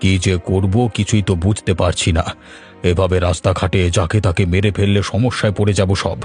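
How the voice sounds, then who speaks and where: Grave village exorcist for a cartoon, played by a man: low, authoritative, earnest, with faint music underneath. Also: level tone, fairly quick, darkish tone, close to an everyday voice. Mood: alarmed concern. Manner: earnest, stern, commanding. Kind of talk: Bengali cartoon voice acting.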